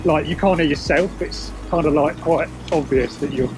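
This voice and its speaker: A man's voice: fairly high pitch, normal speed, background noise. A British man talking casually and unscripted outdoors as he tests some gear on a wireless headset. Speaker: vlogger testing a wireless intercom. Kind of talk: casual unscripted chat while testing gear. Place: outdoors by a riverside path. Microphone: wireless intercom headset. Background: music.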